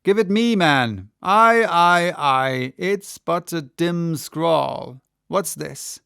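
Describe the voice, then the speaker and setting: A man voicing a stern, commanding old sea captain with a gruff, imperious manner and archaic speech in an audiobook. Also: fairly high pitch, animated, extremely slow, bright, forceful tone, no echo, studio quality, exaggerated voice. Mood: impatient.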